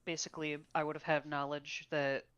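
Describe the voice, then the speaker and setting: A woman playing in a tabletop game, calmly explaining her character's view. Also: low-pitched, brisk, smooth, lo-fi sound. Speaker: player.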